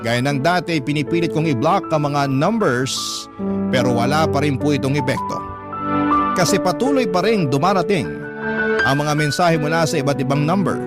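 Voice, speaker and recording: A man with a Filipino accent giving dramatic, scripted first-person narration for a radio drama. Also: on the high side. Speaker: radio drama narrator reading a letter sender's story. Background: dramatic background music.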